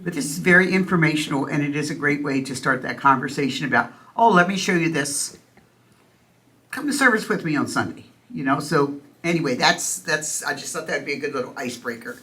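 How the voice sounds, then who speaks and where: A woman's voice: low voice, fairly quick, a bit bright. A woman hosting a church service at a stand microphone, chatty and self-deprecating, with loose fillers. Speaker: host. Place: church meeting room.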